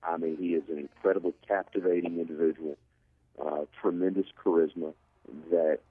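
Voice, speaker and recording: A man with a very deep, rough-edged voice gives sports analysis as a guest. He makes his points emphatically and restates them. The sound is narrow, like a telephone, and quite dry. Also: flat delivery, a little fast, no echo. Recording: narrow phone-like line.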